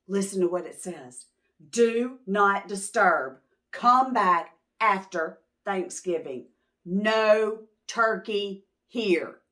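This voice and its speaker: Woman doing storytime for little kids, highish voice, really slow, lots of up n down. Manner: pauses to question and explain to young listeners. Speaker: children's storytime host. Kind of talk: expressive picture book read-aloud for preschoolers.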